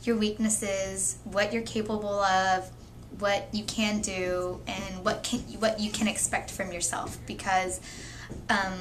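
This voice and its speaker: A 22-year-old woman speaks in a measured, thoughtful manner. Her voice sits at a middle pitch. A faint background noise sits under her words. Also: level tone. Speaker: young mother telling her story. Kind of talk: candid personal testimony.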